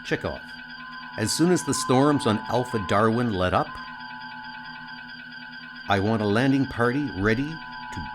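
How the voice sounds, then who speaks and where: A man voicing a caring, authoritative starship captain in a comic audio drama, speaking directly. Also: lowish voice.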